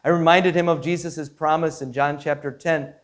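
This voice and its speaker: A man with a slightly high voice speaks as a pastor sharing a devotional story. His delivery is earnest and measured, with phrases set out one at a time and the odd repeated word. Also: fairly even intonation. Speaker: Lutheran pastor.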